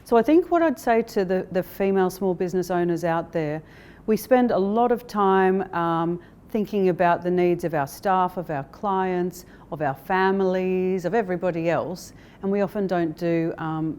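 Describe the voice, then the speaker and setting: A woman speaking as a company director in a corporate interview, explaining things in a measured way. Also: on the low side, a little mellow, smooth. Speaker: interviewee, managing director of an investment firm.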